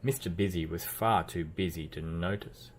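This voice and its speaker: A man reading a children's storybook aloud as narrator, with expressive delivery and playful emphasis. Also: sits low, normal speed, slight background noise. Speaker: children's book reader.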